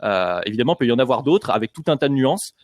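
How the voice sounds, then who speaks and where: A man's voice: mid-range voice, lively intonation, fast talker, no room sound, clean studio sound. A man hosting a podcast discussion, chatty and full of hesitations and fillers. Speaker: podcast host.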